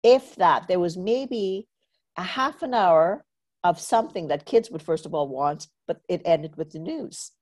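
Low-voiced woman podcast host, chatty. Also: animated, a little slow, darkish tone. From Trinidad and Tobago. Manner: chatty, many "you know" fillers, storytelling asides. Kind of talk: casual conversational interview.

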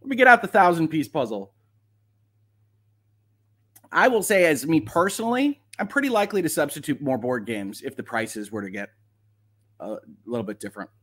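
A man's voice: on the high side, very animated, normal speed, long pauses, fairly bright tone. A man hosting a livestream of legal commentary, talking casually and reading aloud with wry, sardonic asides.

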